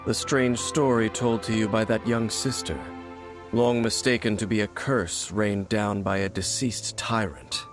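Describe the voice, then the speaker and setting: An actor voicing a composed, secretive scholar who explains things in a measured way, in a video game cutscene. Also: male voice, on the low side, steady tone, natural voice. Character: calm, secretive man, a learned scholar. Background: game music.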